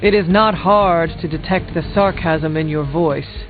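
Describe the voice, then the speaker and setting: Man playing a high-voiced, formal game hero. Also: a little slow, lightly acted. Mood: curious, teasing. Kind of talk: video game voice acting. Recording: dull game audio under music.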